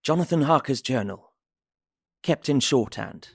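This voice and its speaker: A man's voice: medium pitch, lively intonation, a little slow, creaky, a little mellow, husky, no room sound, clean studio sound, heavily acted. An actor playing a polite, earnest young English solicitor narrating his journal in an audio drama with formal, precise diction. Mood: content, observant.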